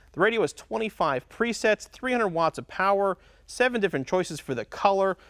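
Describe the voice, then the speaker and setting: Higher-pitched man giving a tutorial. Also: no echo, studio quality. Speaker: host of car parts installation tutorial. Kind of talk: instructional product demonstration.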